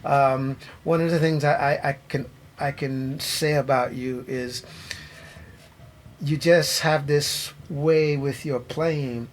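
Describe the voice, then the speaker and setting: A middle-aged man from Trinidad and Tobago talks loosely about his years as a drummer, tripping over his words and laughing easily. His voice sits fairly high for a man, with a faint hum of room sound behind him. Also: fairly even intonation, takes it slowly. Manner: rambling, many restarts, laughs easily. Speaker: drummer interviewed by bandleader. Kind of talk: casual interview chat.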